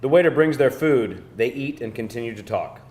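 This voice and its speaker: Male narrator for a table read, mid-pitched, reading scene directions from a script. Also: a little fast, a little mellow.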